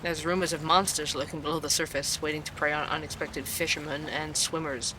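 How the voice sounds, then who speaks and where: A man's voice: fairly high pitch, little pitch movement, fairly quick, background noise, lightly acted. An actor playing a curious male investigative podcaster, who narrates and probes with questions. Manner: inquisitive, probing.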